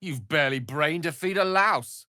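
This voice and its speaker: A man's voice: a little high, normal speed, sharp tone, dry recording, clean studio sound. An actor voicing an eloquent, wry, bookish male wizard companion in a video game, with a theatrical, erudite delivery. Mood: forceful, incanting. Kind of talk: video game character voice acting.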